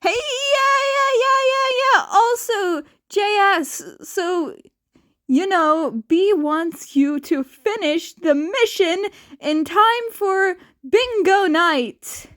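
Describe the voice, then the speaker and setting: A woman voicing an excitable, chatty older brother in an exclamatory, rambling way for a story. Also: very high-pitched, slow pace, no room sound, clean studio sound, pitched above the performer's own voice, full character voice. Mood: excited, pestering.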